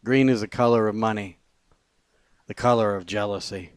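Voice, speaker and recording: Guy with a lowish voice reading his poems out loud, short deliberate lines, pauses, really dry sound. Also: fairly even intonation, clear, resonant tone, no room sound. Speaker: poet. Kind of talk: spoken-word poetry reading.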